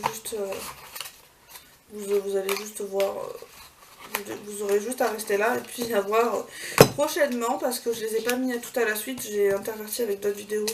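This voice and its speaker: A woman vlogging a casual beauty haul, chatty, with many fillers and self-corrections. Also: mid-range voice. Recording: far from the mic, clear background noise.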